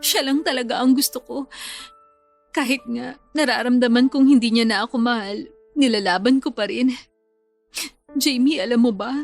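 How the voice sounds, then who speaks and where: An actress playing a heartbroken, clinging young bride-to-be who confides plaintively and tearfully in a Filipino radio drama. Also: female voice, on the high side, steady tone, normal speed, creaky, long pauses, faint music behind. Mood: plaintive, insistent.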